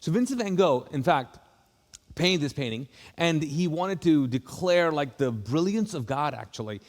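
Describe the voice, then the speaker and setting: A man's voice: a little high, lively intonation, normal speed. A man, a pastor, giving a casual, conversational welcome talk with many fillers and restarts. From Kuwait. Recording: long hall reverb.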